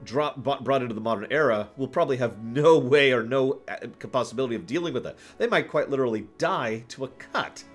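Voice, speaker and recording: A man hosting a livestream, answering viewer questions in casual, off-the-cuff commentary with an animated, playful way of talking. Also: mid-range voice, a little fast, few pauses, soft background music. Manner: animated, chuckles through his points, acts out examples.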